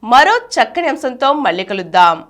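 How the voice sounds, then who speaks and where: Lively high-voiced woman narrating health science. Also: brisk. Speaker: presenter reading health science explainers. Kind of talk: scripted educational narration.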